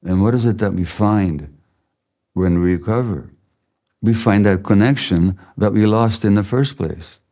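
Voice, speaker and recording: An older man, a Hungarian native speaker, giving a slow, measured, reflective masterclass lecture as a physician. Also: low voice, warm tone.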